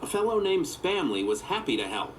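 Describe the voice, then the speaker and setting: A man narrating a children's storybook from a script in an expressive storytelling manner. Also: a little high, a little fast, slight background noise, rough recording.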